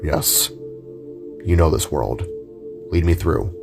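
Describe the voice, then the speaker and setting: A big, bulky robotic spark acted by a man for an audio drama. His voice is deep and gruff, and he speaks in short, dry, emotionless phrases. Faint music sits underneath. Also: animated, slow, exaggerated voice. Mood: cold, commanding. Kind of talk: self-narrated audio drama.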